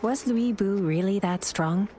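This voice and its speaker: A woman's voice: mid-range voice, a little slow, warm tone, slight background noise, close to an everyday voice. A woman playing a thoughtful, idealistic adviser and companion to a hero in a video game, speaking in a reflective, composed way. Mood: thoughtful, wry. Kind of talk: dramatic game narration and dialogue.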